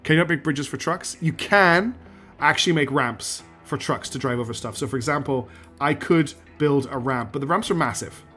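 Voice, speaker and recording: A man streaming a factory-building game, losing his voice and clearing his throat, talking himself through each step and answering chat. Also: on the high side, normal speed, soft background music. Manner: talks himself through building steps, counts out loud, asks himself questions; answers chat messages as he plays. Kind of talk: live gameplay commentary, thinking aloud.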